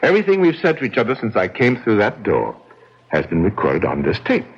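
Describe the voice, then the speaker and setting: Deep, suave, gloating radio-drama killer. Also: male voice, huge pitch swings, brisk, husky, full character voice. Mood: coolly taunting. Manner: smug, theatrical, taunting. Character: suave, cold, gloating killer brother-in-law. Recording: dull, band-limited old radio recording.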